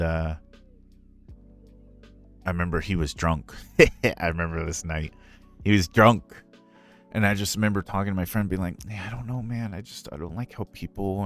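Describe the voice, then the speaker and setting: A man with a very deep natural voice telling stories casually on a livestream, candid and self-deprecating in a conversational way. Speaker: streamer and ASMR roleplay voice performer answering a Q&A.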